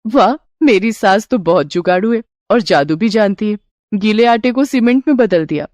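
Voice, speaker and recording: A woman voicing a polite, easily amazed young daughter-in-law in a Hindi cartoon moral tale, respectful and expressive. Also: medium pitch, moderate pace, no echo, studio quality, close to an everyday voice. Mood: delighted. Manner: respectful, wide-eyed, expressive. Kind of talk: cartoon storybook character voice.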